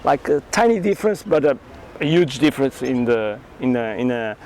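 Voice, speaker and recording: A man with a Portuguese accent and Portuguese as his first language, telling his story in halting English as an interview guest. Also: mid-range voice, very animated, a little mellow, slight background noise. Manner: earnest, halting non-native English with many restarts. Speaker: karate champion and entrepreneur, guest.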